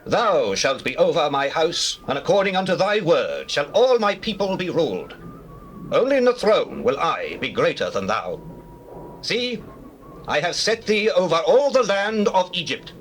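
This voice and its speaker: High-pitched, swooping regal Pharaoh. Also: male voice, huge pitch swings, unhurried. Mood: grand, admiring. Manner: declamatory, stately, theatrical delivery. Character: Pharaoh, commanding king of Egypt, grand and regal. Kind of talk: classical dramatized scripture reading. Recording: noisy old cassette recording with background ambience.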